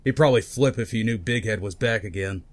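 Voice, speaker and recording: A man's voice: lowish voice, fast talker, natural voice. A man playing a chatty, casual tough guy in a comic book dub. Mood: subdued, casual. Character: chatty, weary male police officer; also glib crook.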